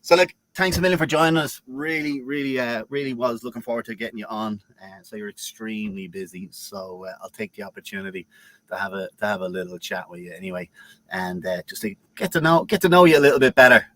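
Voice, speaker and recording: A man's voice: fairly low pitch, fast talker, a bit bright, no echo, poor audio. A man hosting a live interview over a video call, upbeat and full of fillers and repeated words. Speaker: host.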